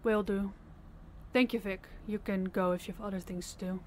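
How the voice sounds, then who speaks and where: Stern female crime boss, played by a woman, mid-pitched, blunt and commanding, audio drama. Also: steady tone, normal speed, a little hiss or hum, lightly acted. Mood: frustrated, businesslike. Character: stern, fed-up female crime boss scolding her subordinate. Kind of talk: audio roleplay acting.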